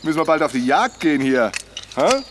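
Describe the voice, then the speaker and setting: A man's voice: fairly high pitch, very animated, brisk, noisy background. An actor playing a jovial, chattering camper who goads his companion in a naturalistic radio drama, with a teasing manner. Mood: teasing, pushy. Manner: teasing, chatty, punctuated by chuckles and tag questions.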